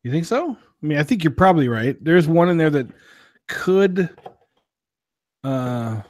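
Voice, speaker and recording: A man's voice: on the high side, big pitch swings, measured pace, darkish tone, no echo, clean studio sound. A young man co-hosting a podcast, chatting casually and jokingly as he reviews drinks, with a self-deprecating streak. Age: young adult. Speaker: podcast co-host. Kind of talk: casual beer review chat.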